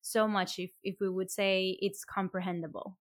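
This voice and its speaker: A woman's voice: medium pitch, level tone, normal speed, dry recording. Colombian-born woman hosting a chat, reflective, lots of um and you know, dead dry mic. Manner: warm, reflective, many fillers and restarts. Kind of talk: conversational interview.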